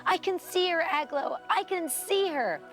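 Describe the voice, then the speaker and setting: A woman's voice: extremely high pitch, lively intonation, a little slow, a bit bright, soft background music, pitched above the performer's own voice, exaggerated voice. A woman voicing an eager, loyal young girl in a storybook, with an insistent way of talking. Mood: amazed.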